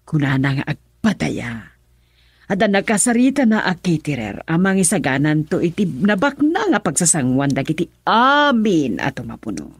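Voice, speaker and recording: A woman's voice: deep voice, sing-song, moderate pace, slightly rough, no echo, heavily acted. A woman with an Ilocano Filipino accent playing an insistent, meddling middle-aged mother in a theatrical, coaxing radio drama style.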